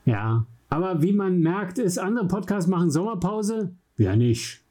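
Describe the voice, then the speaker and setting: A man's voice: high-pitched, big pitch swings, measured pace, warm tone. A man with a German accent co-hosting a podcast in casual chat from a home studio, with a joking, self-deprecating manner. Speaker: podcast co-host.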